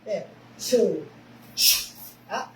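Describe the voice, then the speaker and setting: A woman's voice: medium pitch, animated, very slow. A woman with a Spanish accent, a native Spanish speaker, teaching a karate class in short broken phrases and often checking in with "okay?". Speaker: karate instructor. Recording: echoey room, mic far off, noisy.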